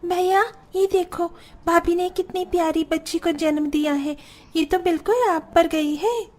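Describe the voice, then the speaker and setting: A woman acting a sweet, hopeful young sister in an animated family drama. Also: very high voice, unhurried. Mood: delighted.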